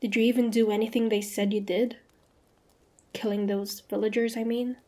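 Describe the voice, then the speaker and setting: A woman playing a wary, sharp-tongued young demon hunter with a prickly but grudgingly softening manner, in a fantasy roleplay audio drama. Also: fairly high pitch, level tone, normal speed, natural voice. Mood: grudgingly trusting. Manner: guarded, sharp-tongued, tsundere.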